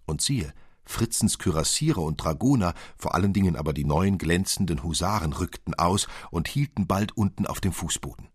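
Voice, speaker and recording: Deep husky male storyteller. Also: expressive, normal speed, no room sound, studio quality. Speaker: narrator. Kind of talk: expressive literary storytelling for radio drama.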